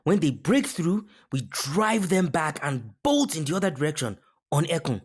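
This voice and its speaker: A woman playing an earnest, clever boy adventurer with a purposeful delivery, reading an animated series script. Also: sits low, moderate pace, breathy edge, exaggerated voice. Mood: determined. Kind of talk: animated series script at a live table read.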